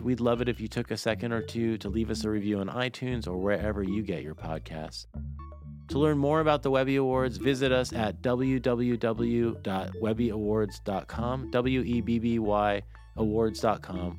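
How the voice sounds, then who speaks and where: A man's voice: fairly low pitch, normal speed, music in the background. A man hosting an interview podcast in a casual, conversational way, often rephrasing as he goes. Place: studio.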